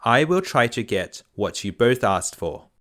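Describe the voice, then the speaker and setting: A kindly merchant father from a fairy tale, read out by a man. He is meek and obliging, with a mid-pitched voice that rises and falls widely, storybook style. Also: very animated, measured pace, fairly bright tone.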